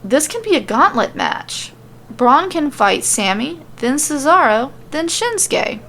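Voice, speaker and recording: A woman voicing an excitable male bard in a story, eager to broker a fight, on a slightly noisy recording. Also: high-pitched, a little slow, voice pushed up, heavily acted. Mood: excited.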